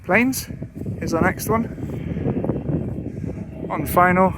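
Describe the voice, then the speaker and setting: A man's voice: high voice, slow pace. A man who is a pilot, giving casual vlog commentary outdoors at an airfield. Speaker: pilot and vlogger. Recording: clear outdoor ambient noise.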